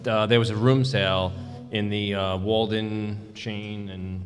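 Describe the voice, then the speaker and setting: Lowish man in echoey hall. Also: little pitch movement, a little slow, faint music behind. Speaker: podcast co-host. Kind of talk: casual chat. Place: large convention hall.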